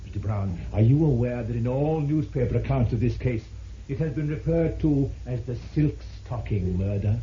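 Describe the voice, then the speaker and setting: Male actor as formal probing prosecutor, vintage radio play, dark timbre, dull hissy recording. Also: medium pitch, animated, moderate pace, natural voice. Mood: accusing. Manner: formal, insistent cross-examining. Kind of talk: old-time radio drama acting.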